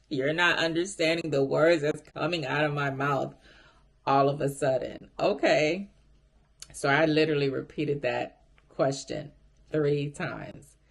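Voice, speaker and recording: Woman vlogger, deep gravelly voice, chatty storyteller talking to viewers. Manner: chatty and animated, addresses viewers directly. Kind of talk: casual storytime.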